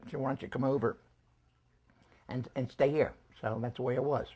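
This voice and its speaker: An older man being interviewed about his family, talking in a halting, casual way with frequent restarts and chuckles, his voice growing hoarse. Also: mid-range voice, steady tone, warm tone. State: losing his voice. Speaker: interviewee recalling family history.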